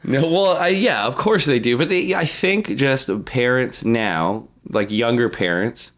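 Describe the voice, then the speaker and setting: A man's voice: medium pitch, animated, a little slow, a bit bright. Man, early 30s, mid-range voice, for loose podcast banter with plenty of swearing and joking anecdotes. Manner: crude, heavy swearing, slangy. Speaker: podcast co-host. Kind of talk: casual comedic chat, telling anecdotes.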